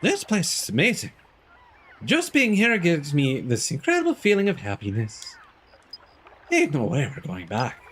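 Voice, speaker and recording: A man's voice: on the high side, huge pitch swings, normal speed, fairly bright tone, a little hiss or hum. Man doing a stubborn, drawling swamp fisherman. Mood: blissful, stubborn. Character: stubborn, blissfully lazy male swamp fisherman.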